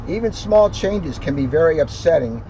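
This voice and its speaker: A man's voice: on the high side, expressive, moderate pace. A man, a police officer, reading a children's book aloud and explaining it in simple terms. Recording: dull lo-fi sound, faint background noise.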